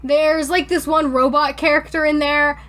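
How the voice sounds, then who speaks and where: A woman co-hosting a podcast in casual banter, talking in a giggly, expressive, rambling way. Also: sits high, normal speed. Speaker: podcast co-host.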